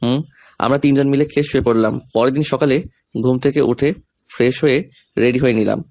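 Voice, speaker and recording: A man's voice: mid-range voice, fairly even intonation, brisk, gravelly, a little mellow. A young man reading a scripted first-person romantic story, voicing the male lead's lines as well. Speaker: story narrator and male lead.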